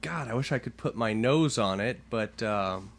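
A man with a slightly low voice co-hosts a casual podcast. He talks loudly and jokes around, swearing often. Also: lively intonation, normal speed. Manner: louder, leans into the mic, joking and profane. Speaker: podcast co-host. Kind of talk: casual chat.

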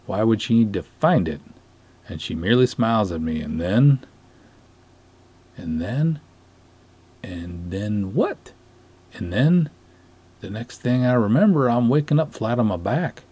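A man acts out a pompous, earnest canoe cop in a storybook reading. His voice is low and husky, and he talks in ornate, formal sentences with a flustered edge. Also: mellow. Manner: formal, florid, flustered phrasing.

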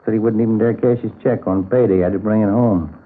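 Actor as a low-voiced American homicide detective. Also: male voice, steady tone, fast talker, natural voice. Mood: musing. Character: plain-spoken male homicide detective, the sergeant's partner. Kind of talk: 1950s radio crime drama acting, conversational. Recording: tinny old radio recording.

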